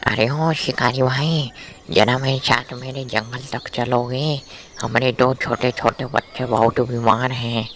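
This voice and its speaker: A man playing a cocky young lion, mid-pitched. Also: steady tone, unhurried, a bit bright, lightly acted. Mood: bargaining. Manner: colloquial, boastful, pestering. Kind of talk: Hindi animated moral story dialogue. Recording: faint background noise.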